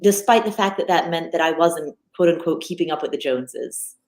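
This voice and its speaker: A woman's voice: low-pitched, brisk. A woman in her thirties, a finance podcaster from Nepal raised in the United States, talking animatedly as an interview guest with many restarts. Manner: animated, frequent restarts and fillers. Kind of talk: conversational interview. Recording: echoey room.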